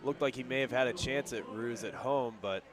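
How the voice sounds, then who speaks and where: A man providing conversational color commentary on a radio baseball broadcast, explaining things in a measured way. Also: mid-range voice, crowd noise. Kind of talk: conversational sports analysis on radio. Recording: faint outdoor ambience and traffic-like noise.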